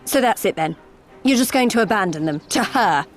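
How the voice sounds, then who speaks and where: A woman's voice: mid-range voice, expressive, brisk, lightly acted. An actress playing an idealistic young female fighter in a video game, plain-spoken and impassioned. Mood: indignant, pleading. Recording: background music.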